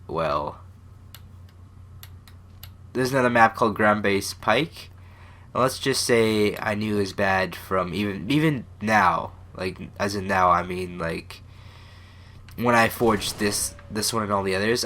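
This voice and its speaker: A man's voice: on the low side, pushed and bright. A young man with a stuffy, congested voice, casually and self-deprecatingly commenting on his own old game maps, full of fillers and restarts. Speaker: gaming channel host.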